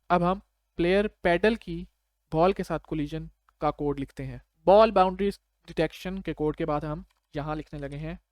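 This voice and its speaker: A man with a fairly high, very clear and smooth voice explains code as a tutorial presenter. His delivery is conversational and step-by-step, with English technical terms mixed in. The sound is dry and close, with little room echo. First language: Urdu. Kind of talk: casual step-by-step screencast tutorial.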